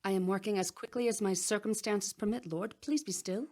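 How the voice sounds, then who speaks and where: An actress playing a clever, composed young bride of a sultan in a radio drama, speaking formally and deferentially. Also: female voice, mid-range voice, fairly quick, warm tone, natural voice.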